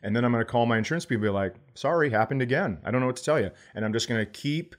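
A man's voice: mid-range voice, lively intonation, racing. American man, casual comedic podcast host.

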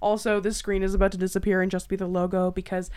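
A woman hosting a podcast in casual chat, talking in an informal, excitable, chatty way. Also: mid-range voice, quick pace. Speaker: podcast host.

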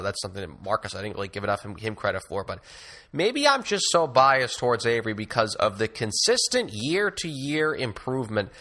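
A man's voice: mid-range voice, bright, forceful tone. A man co-hosting a basketball podcast, talking through stats in an enthusiastic, casual way with frequent restarts. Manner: enthusiastic, stat-heavy, restarts phrases often. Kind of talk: casual sports analysis chat.

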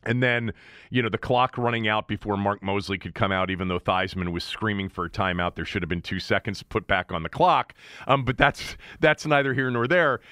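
A man's voice: on the low side, a little fast, bright, forceful tone, clear, resonant tone, no room sound, clean studio sound. A middle-aged or older man from the Washington, D.C. area hosting a casual sports interview in a rambling, conversational way. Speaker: sports talk host.

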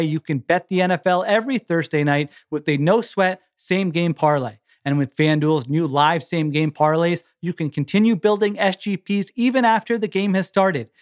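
Male sports podcaster, slightly high voice, casual host read.

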